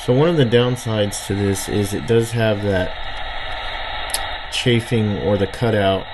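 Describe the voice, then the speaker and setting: A man's voice: on the low side, a little slow, very noisy. A man giving a casual, unscripted gadget demo, hesitant and thinking aloud as he goes. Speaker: hobbyist radio reviewer.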